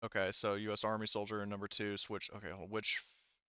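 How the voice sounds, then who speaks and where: Casual male game master, clear smooth voice, sweary table talk, dry and slightly muffled recording. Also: on the low side, monotone, quick pace, pushed and bright, no echo. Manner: informal, swearing.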